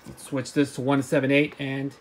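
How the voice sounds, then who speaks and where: A man giving a casual gadget demonstration as a hobbyist, halting, often repeating words and stumbling as he goes. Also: medium pitch, monotone, slight background noise. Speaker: hobbyist video presenter.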